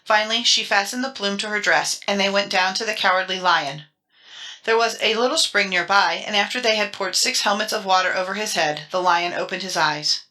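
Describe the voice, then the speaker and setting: A woman narrator with a bright, crisp-edged voice at a medium pitch. She reads a scripted children's adventure tale in a dramatic storybook style. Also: a little fast. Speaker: audiobook narrator.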